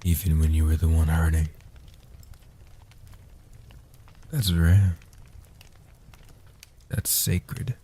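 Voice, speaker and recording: Man playing a hushed, dark-voiced ancient guardian vampire. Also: rumbling low, steady tone, heavily acted. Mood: solemn, reverent. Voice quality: soft, breathy, hushed. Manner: slow, deliberate, soothing. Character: ancient male guardian vampire, protective, tender, world-weary. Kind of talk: soft-spoken comfort audio roleplay.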